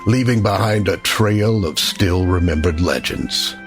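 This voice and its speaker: A male announcer giving measured, formal scripted narration for a radio drama. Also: deep voice, over music.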